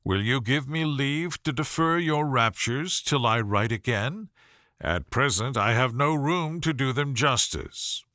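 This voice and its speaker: Storybook role: male reader as a proud young gentleman, formal and cool, clipped in manner, close dry sound. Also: mid-range voice, animated, unhurried, no room sound. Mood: cool, curt. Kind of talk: audiobook character voice.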